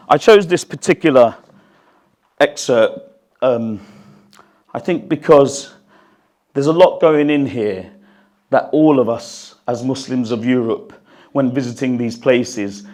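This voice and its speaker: A man with a croaky, strained voice speaks to an audience at a book launch, then reads an excerpt, earnest and reflective, with the odd cough. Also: mid-range voice, slow, pauses often, a little mellow. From United Kingdom. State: croaky voice, recovering from losing it. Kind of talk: talk and reading from his book. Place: hall at a gathering, in front of an audience. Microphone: handheld microphone.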